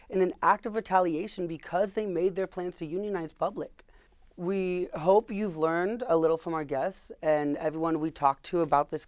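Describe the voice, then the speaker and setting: A man's voice: fairly high pitch, dry recording. Young man hosting a campus talk show. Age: young adult. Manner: relaxed, with fillers and repeats. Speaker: host. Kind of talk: public affairs interview.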